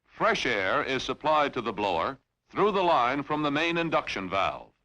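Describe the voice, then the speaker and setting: A man reading scripted instructional narration in a formal, measured, clearly enunciated way, as in a training film. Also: mid-range voice, very animated, bright, forceful tone, poor audio.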